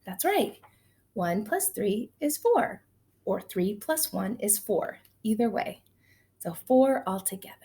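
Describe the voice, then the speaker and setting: A woman's voice: medium pitch, animated, slow, warm tone. A woman teaching young children in an encouraging, sing-song way, asking them questions as she counts, chants and tells simple stories.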